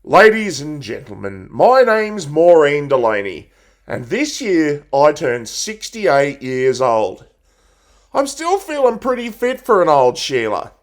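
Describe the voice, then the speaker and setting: A man's voice: a little high, very animated, slow. A man reciting a theatrical monologue as a blunt, folksy 68-year-old Australian country woman making a rousing campaign speech. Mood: proud, plainspoken.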